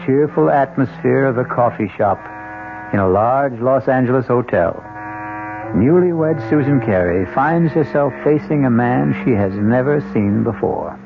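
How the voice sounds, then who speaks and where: A man hosting a radio mystery drama, narrating in an ominous, deliberate, suspenseful storytelling style with a gravelly voice. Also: medium pitch, lively intonation. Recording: tinny old radio, music behind.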